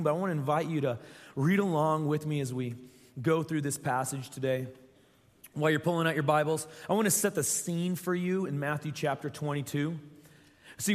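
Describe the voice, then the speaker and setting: A young adult man preaching a sermon as pastor, his voice scratchy and strained, talking earnestly and repeating phrases for emphasis. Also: a little high. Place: large church hall. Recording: long reverb from a church hall.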